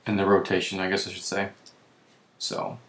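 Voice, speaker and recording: A man with a voice that is giving out, who clears his throat often and keeps correcting himself while walking through software on screen. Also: low-pitched, huge pitch swings, moderate pace, reverberant. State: losing his voice. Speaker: web tutorial presenter. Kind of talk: screen-recorded software walkthrough.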